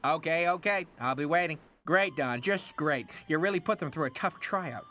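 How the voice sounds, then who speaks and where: A man's voice: fairly high pitch, sharp tone, a little hiss or hum. A man voicing a slick, frantic cartoon casting director with a theatrical, easily exasperated manner, for a video game. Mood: businesslike, unimpressed. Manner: theatrical, exasperated, fast-switching boss.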